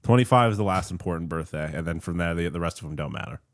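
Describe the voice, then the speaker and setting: A man's voice: sits low, racing. A man in his late twenties, co-hosting a casual sports watchalong, chatting and joking and laughing easily. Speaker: podcast co-host.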